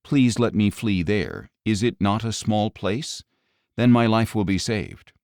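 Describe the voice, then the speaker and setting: A man's voice: lowish voice, unhurried, darkish tone, dry recording, studio quality. A man voicing an anxious older father who earnestly implores, in an audio Bible story. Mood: anxious pleading. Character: anxious, pleading older man, father sheltering his guests.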